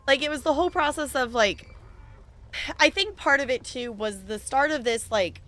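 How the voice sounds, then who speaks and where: A woman's voice: high-pitched, normal speed, fairly bright tone. A woman streamer chatting casually while she plays, laughing often and talking to the game. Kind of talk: casual chat while gaming.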